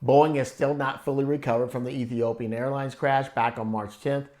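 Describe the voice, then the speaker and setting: A man hosting an investing channel, reading scripted analysis in a measured, explanatory way with conversational asides. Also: medium pitch, little pitch movement, darkish tone. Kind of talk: scripted stock analysis narration.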